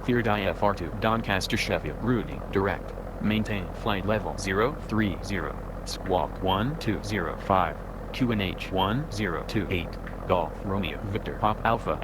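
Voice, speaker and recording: A man's voice: sits low, moderate pace, long pauses, close to an everyday voice. A man voicing a businesslike light aircraft pilot in a simulator game, making clipped, formal radio calls. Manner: clipped, procedural. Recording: clear aircraft engine noise.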